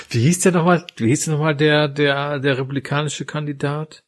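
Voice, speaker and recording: Ein Mann, der im Podcast locker mitredet, oft stockt und Wörter wiederholt. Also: male voice, on the high side, fairly even intonation. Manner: halting, repeats words while searching, laughs easily. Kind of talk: casual chat.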